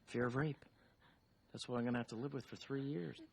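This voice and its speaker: A man's voice: medium pitch, fairly even intonation, a little fast, gravelly, a little hiss or hum, close to an everyday voice. A man playing a self-centred, evasive husband in a comedy film, wheedling and defensive. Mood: smug, placating. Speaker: film character.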